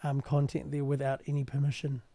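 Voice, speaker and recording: A man translating and explaining a news clip in a casual, halting way with many pauses. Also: medium pitch, completely flat delivery, gravelly, dark tone, dry recording. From New Zealand. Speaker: translator and commentator.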